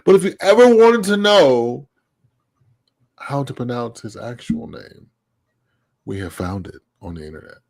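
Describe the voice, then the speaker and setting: A man's voice: medium pitch, animated, unhurried, pauses often, darkish tone, dry recording. A man hosting a live-streamed talk show, blunt and emphatic, and he swears freely. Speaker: host.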